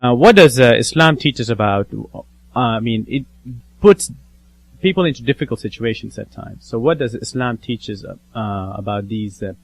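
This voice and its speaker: A man's voice: medium pitch, moderate pace, vocal fry. A man interviewing in a conversational way, hesitant, giving brief acknowledgements and echoing words. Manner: hesitant, echoes the other speaker with brief acknowledgements. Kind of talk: conversational questioning and attentive listening.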